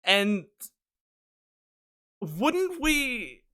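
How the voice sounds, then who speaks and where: A man's voice: extremely high pitch, big pitch swings, very slow, bright, forceful tone. A man giving casual reaction commentary on game cutscenes, puzzled and thinking aloud as he goes. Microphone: studio condenser microphone. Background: music from game cutscenes.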